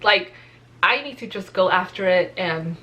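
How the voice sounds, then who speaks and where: A woman's voice: on the low side, unhurried, bright, forceful tone, dull audio. A young adult woman, a nurse practitioner student whose first language is Spanish, talking earnestly and casually to camera with lots of fillers. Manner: chatty, earnest, many fillers.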